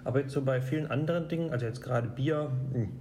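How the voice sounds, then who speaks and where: A man from Germany, co-hosting a podcast in a relaxed, joking way. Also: medium pitch, fast, crowd noise. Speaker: podcast co-host. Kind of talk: casual podcast chat.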